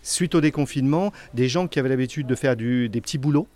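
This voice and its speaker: Earnest middle-aged man, halting speech. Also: mid-range voice, a little mellow. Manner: earnest, repeats words with frequent fillers. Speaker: association founder. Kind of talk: casual interview answers.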